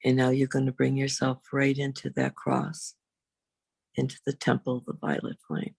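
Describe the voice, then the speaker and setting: A woman reverend leading a guided meditation in a slow, soothing, unhurried way, with long pauses. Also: lively intonation, darkish tone, no echo. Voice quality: low, husky, creaky.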